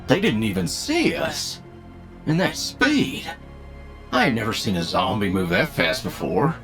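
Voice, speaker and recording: A man playing a gritty, steady leader of an adventuring party in an audio drama, laconic and folksy in his drawl, with a gravelly voice. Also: medium pitch, a little slow. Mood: grim, resolute. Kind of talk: anime-style audio roleplay acting. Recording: loud music over the voice.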